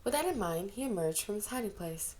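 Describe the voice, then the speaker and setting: A woman reads a story aloud as scripted narration. Her voice sits at a middling pitch. She is an amateur reader who now and then stumbles on the text. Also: animated, fairly quick, a little mellow, slight background noise. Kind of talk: scripted narration of fan fiction.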